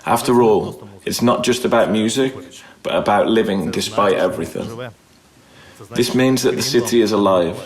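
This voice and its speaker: A man's voice: on the low side, normal speed, low-quality recording. Earnest man reading a voice-over. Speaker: voice-over translator.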